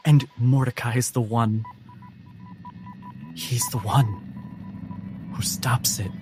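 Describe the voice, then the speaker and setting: A man voicing a grim, fatalistic prisoner in a tabletop game, halting and repeating himself. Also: medium pitch, fairly even intonation. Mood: grim warning. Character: grim, fatalistic male prisoner warning of doom. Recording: music bed under the voice.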